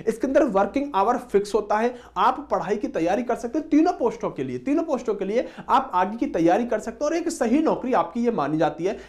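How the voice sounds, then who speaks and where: A man's voice: sits high, darkish tone. A man speaking as an exam coaching teacher, explaining informally to students and often addressing them as friends. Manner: repeatedly addresses listeners as friends.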